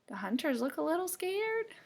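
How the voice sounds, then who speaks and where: A woman reading a picture book aloud to children, playful and dramatic, with expressive narration. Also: very high voice, normal speed, vocal fry, background noise. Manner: playful, dramatic, with hushed asides. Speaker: storybook reader for children.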